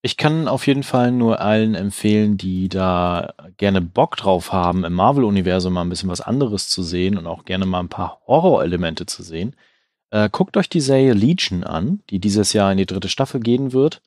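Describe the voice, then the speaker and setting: A man co-hosting a casual film news podcast, speaking in a relaxed, affirming way and recommending titles. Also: low-pitched, normal speed, dry recording, clean studio sound. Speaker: podcast co-host.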